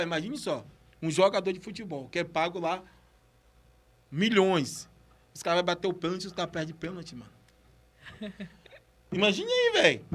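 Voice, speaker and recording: A man's voice: high-pitched, a bit bright. A man with a Brazilian accent and a slight stammer, chatting casually and motivationally in a slangy, exhortative way on a podcast. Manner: slangy, exhortative, repeats himself. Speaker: podcast speaker on entrepreneurship.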